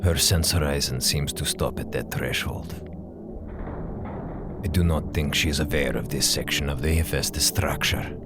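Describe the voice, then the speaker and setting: An actor playing a cold, ruthless older scientist in an audio drama, speaking formally and deliberately in a gravelly voice. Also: male voice, fairly even intonation. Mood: measured, wary. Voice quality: very low, gravelly. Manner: formal, deliberate, clipped phrasing without contractions. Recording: loud music under the voice. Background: dramatic music.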